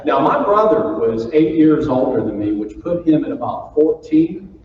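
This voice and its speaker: A man's voice: a little high, lively intonation, normal speed. A man from Florida, giving a humorous keynote speech and telling stories to a live audience in a folksy, joking manner. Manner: folksy, jokey, playful with the audience. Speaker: keynote speaker. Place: large conference hall. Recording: far-off roomy hall, muffled with faint noise.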